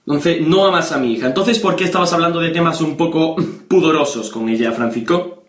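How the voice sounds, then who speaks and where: A man's voice: on the high side, a little fast, fairly bright tone, natural voice. Casting: young man as stern, preachy Spanish policeman dad on a prank call, insistent, accusing, echoey bedroom. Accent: Spain. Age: young adult. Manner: insistent, accusatory, repeating the listener's name. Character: stern, preachy, threatening policeman father of a teenage girl. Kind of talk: prank phone call improvisation. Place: small bedroom, indoors. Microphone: webcam or camera at desk distance.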